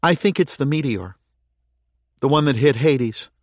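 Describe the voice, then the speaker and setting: Male narrator as earnest thoughtful woman, raised pitch, tentative questioning delivery, audiobook dialogue, dry sound. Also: a little slow, no echo, close to an everyday voice. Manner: tentative, questioning, thinking aloud. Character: earnest, thoughtful woman reasoning through a mystery.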